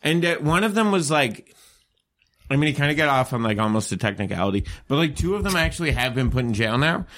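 A man, a comedian, chatting and punning loudly in a casual podcast, with deadpan jokes. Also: medium pitch, fast talker. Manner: loud, jokey, deadpan puns.

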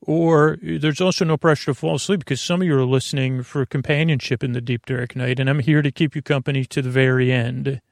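Creaky-voiced man rambling through a bedtime podcast. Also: medium pitch, fairly even intonation, no room sound, clean studio sound. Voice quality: creaky, gravelly. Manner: meandering, halting, trails off into tangents and self-corrections; gentle, reassuring. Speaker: podcast host.

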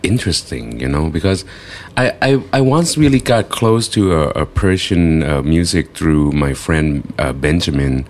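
A man co-hosting a casual interview, halting, with many fillers. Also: a bass voice, expressive, a little mellow. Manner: halting, lots of fillers and backchannels. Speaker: co-host.

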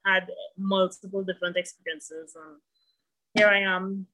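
A woman's voice: mid-range voice, level tone, measured pace, sharp tone, low-quality recording. A woman in her thirties, a musician talking thoughtfully about herself as a guest in a casual interview. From Trinidad and Tobago.

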